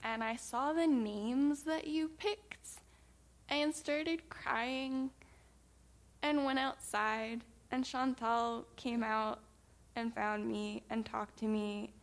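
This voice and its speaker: A young woman from the United States talks in a relaxed, story-telling way, filling pauses with ums and breaking into little laughs. Her voice sits a bit high for a woman and has a noticeable creaky fry. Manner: chatty, many ums, laughs while talking. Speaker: missionary reporting back. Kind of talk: casual storytelling presentation.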